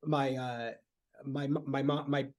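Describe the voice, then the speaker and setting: A man's voice: mid-range voice, fairly even intonation. A man from Michigan, a podcast guest, chatting casually and rambling with lots of fillers and restarts. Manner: rambling, halting with many fillers. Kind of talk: casual chat.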